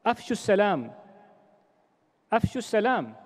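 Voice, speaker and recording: A middle-aged man gives a formal welcome speech from a podium, earnest and exhorting, often repeating his phrases. His voice sits high for a man. Podium microphones catch him, and a long reverb trails after each phrase in the large hall. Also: very animated, slow pace. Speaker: organization president giving a welcome address. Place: large convention hall stage.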